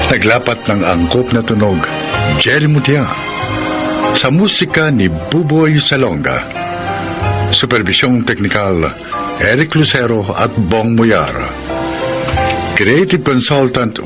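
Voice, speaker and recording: Slow, animated Filipino male radio announcer. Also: medium pitch. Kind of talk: scripted announcing. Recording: loud music over the announcer.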